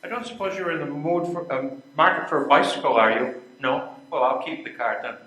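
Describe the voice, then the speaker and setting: A man's voice: a little high, lively intonation, a little fast, bright, forceful tone. A man playing a genial, chatty bicycle company executive in his mid-fifties in a readers theater play. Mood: sociable, chatty. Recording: echoey room recording.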